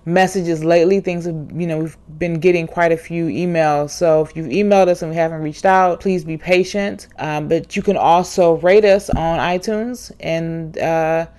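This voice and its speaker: A woman hosting a podcast, introducing the show and then interviewing a guest in a relaxed way, with frequent ums and restarts. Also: on the low side, moderate pace, hardly any pauses. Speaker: podcast host.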